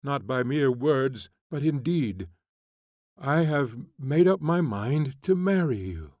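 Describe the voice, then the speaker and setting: A male reader voicing a remorseful gentleman prince who pleads earnestly for forgiveness. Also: mid-range voice, lively intonation, slow, no room sound, clean studio sound. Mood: pleading.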